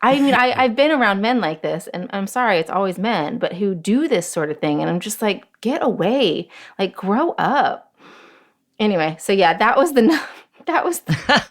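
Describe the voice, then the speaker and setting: A woman's voice: medium pitch, very animated, moderate pace. A woman with a Southern US accent, a podcast guest, who talks animatedly and emphatically. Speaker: guest reporter. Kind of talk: casual podcast chat.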